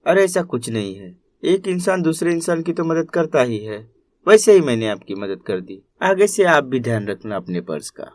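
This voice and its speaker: A man voicing a humble, kind, earnest young rickshaw driver in an animated moral story. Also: on the high side, moderate pace, dry recording. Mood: reassuring. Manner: earnest, considerate.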